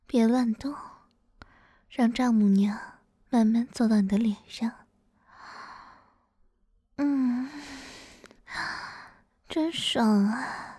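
A woman acting as a domineering middle-aged mother-in-law in an adult audio drama, high-voiced yet mellow. She teases and gives slow orders, often dropping into breathy whispers. The sound is close and dry. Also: no echo. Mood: seductive, teasing. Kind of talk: intimate adult audio roleplay, partly whispered.

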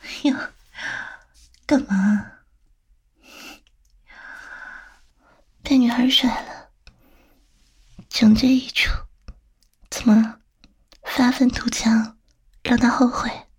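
A woman's voice: a little high, steady tone, full character voice. A woman playing a teasing, coaxing female boss flirting with a younger employee in an intimate, whispered audio roleplay. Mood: teasing persuasion. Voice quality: breathy, whispery. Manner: slow, coaxing, tender, teasing.

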